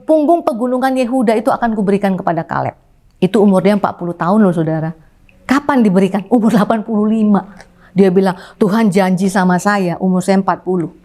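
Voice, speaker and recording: Woman, 50s, pastor, emphatic sermon, pitch rises and falls widely, fairly high voice. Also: darkish tone. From Indonesia. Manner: emphatic, calls "saudara", wide swings in pitch.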